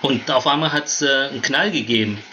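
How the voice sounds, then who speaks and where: A man in his thirties from Vietnam who grew up in Germany tells personal stories as an interview guest, often halting to search for words. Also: medium pitch, sharp tone, a little hiss or hum. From born in Vietnam, grew up in Germany. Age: 30s. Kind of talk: personal storytelling in a conversational interview.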